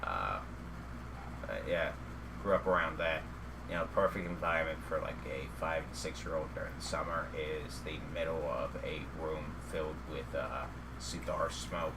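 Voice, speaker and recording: A man tells stories in a slow, easy chat, dropping in dry jokes. His voice sits very low. Clear background noise runs under everything he says. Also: far from the mic. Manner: dry joking, storytelling with fillers. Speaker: podcast co-host. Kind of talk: casual chat.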